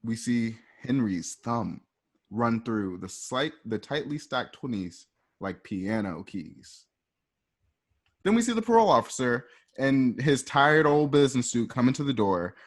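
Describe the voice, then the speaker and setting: A man's voice: mid-range voice, expressive, unhurried. A man reading script stage directions in a casual, plain-spoken way at an informal table read.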